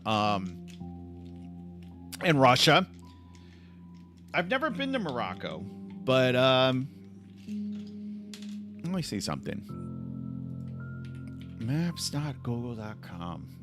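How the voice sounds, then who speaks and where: A man hosting a casual stream from his laptop at home, his voice sitting a little high and swinging widely in pitch. He rambles, trips over his words and restarts often, filling the gaps with "like." Soft music plays faintly behind him. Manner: rambling, restless, full of restarts and "like". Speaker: livestream host. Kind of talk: casual livestream chat.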